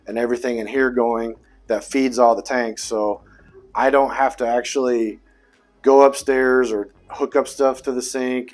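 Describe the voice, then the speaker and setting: A man's voice: medium pitch, darkish tone. A man casually walking a visitor through his basement pump room, explaining his aquarium plumbing in a plain, matter-of-fact way. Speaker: fish tank hobbyist giving a tour. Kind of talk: casual walkthrough tour.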